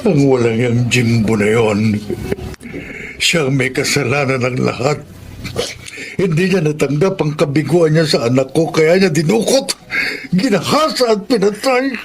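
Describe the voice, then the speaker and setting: A man's voice: on the high side, a little slow, a little hiss or hum. Bitter, grieving Filipino father. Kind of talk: Filipino radio drama acting.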